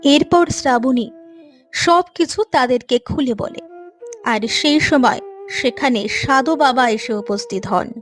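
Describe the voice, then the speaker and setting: A woman with a high voice narrates an animated moral tale. Her delivery is scripted storytelling, with faint music playing behind her. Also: slow pace.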